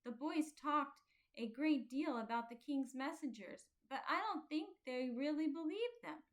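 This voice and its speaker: A woman voicing a quiet, thoughtful young pilgrim boy in a children's storybook, speaking earnestly and plainly. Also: high voice, animated, measured pace. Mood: earnest, chatty.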